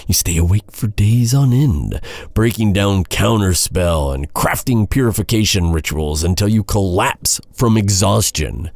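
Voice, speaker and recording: Bitter knight, played by a man, fantasy audio drama: slow, brooding delivery with dramatic swings in pitch, gravelly, menacing. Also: darkish tone, dry recording, heavily acted. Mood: frustrated, accusing. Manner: taunting, brooding, dramatic with heavy sighs. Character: bitter vengeful male knight guarding a captive royal. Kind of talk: immersive dark fantasy ASMR roleplay acting.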